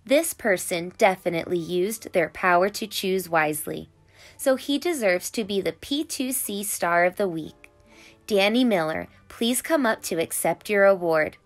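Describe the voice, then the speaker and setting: A woman voicing a kind, proud female schoolteacher from a picture book, speaking in a measured, encouraging way. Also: mid-range voice, animated, faint music behind, close to an everyday voice. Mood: announcing.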